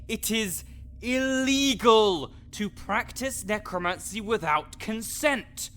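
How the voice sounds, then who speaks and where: A man's voice: high-pitched, expressive, takes it slowly, fairly bright tone, exaggerated voice. A man playing a grouchy, scholarly necromancer in an audio roleplay, condescending, pedantic and sarcastic. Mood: exasperated lecturing. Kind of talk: audio roleplay acting addressed to the listener.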